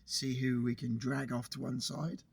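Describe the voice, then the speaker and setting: A man co-hosting a podcast outdoors by the sea while he has a cold, chatting in a relaxed, rambling way. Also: mid-range voice, moderate pace, vocal fry, slightly rough, no echo. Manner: relaxed, rambling, pointing out sights. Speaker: podcast co-host. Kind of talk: casual chat on location. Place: outdoors by the sea on a windy day.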